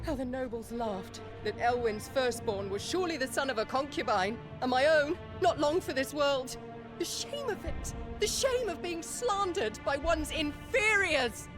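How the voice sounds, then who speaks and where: A woman's voice: sits high, expressive, unhurried. An actress playing a haughty, embittered noblewoman mother in a fantasy game, speaking imperiously and with volatile emotion. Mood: bitter fury. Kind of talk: dramatic fantasy game acting. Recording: dramatic music under the voice.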